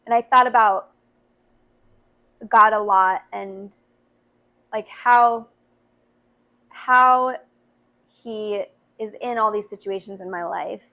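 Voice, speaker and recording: A young woman who works as a youth minister, talking earnestly on a podcast in a high voice. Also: takes it slowly, long pauses, sharp tone, poor audio. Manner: earnest, frequent "to be honest" and "like". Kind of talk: casual chat.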